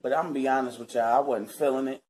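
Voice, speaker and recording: A woman's voice: extremely low pitch, steady tone, rapid-fire, a little mellow. A woman in her thirties from Cincinnati, talking earnestly and casually to her viewers from her living room. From Cincinnati, Ohio, US. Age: 30s. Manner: chatty, earnest, keeps addressing viewers as y'all. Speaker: vlogger. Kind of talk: casual talk to camera.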